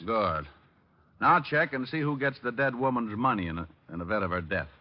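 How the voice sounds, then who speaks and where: An actor playing a breezy, wisecracking private detective in 1940s radio style, flippant and deadpan. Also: male voice, medium pitch, a little fast, lightly acted. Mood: brisk, dry. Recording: muffled old radio recording with music.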